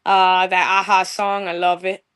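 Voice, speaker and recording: A woman's voice: on the low side, little pitch movement, normal speed, fairly bright tone, clean tone. A young woman from New York with a New York accent, gushing in a casual podcast interview and mixing in street slang. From New York, USA. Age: young adult. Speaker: guest musician.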